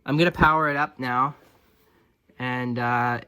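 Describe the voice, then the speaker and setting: A man's voice: mid-range voice, fairly even intonation, a little slow, crowd noise. A man talking casually and off the cuff, in an offhand, self-deprecating way, as he narrates a hands-on repair. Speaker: hobbyist radio repairer presenting own video.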